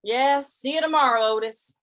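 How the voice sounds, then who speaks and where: Woman playing a folksy, hesitant cowboy, higher voice. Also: fairly bright tone. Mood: uneasy. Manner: folksy, hesitant, stammering drawn-out replies. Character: laconic, easygoing male cowboy drifter, reluctant peacemaker. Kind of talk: old-time western radio drama acting and narration.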